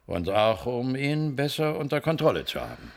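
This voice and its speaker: A man's voice: medium pitch, huge pitch swings, moderate pace. An actor playing a brusque, authoritative middle-aged spaceship commander and father in a German science-fiction radio drama. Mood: probing, concerned.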